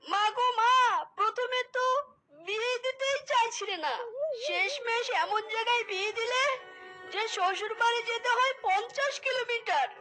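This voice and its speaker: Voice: extremely high pitch, normal speed, bright, forceful tone, faint music behind. A performer voicing a whiny, melodramatic young male fox in a cartoon, wailing and lamenting theatrically. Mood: tearful complaint. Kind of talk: cartoon voice acting.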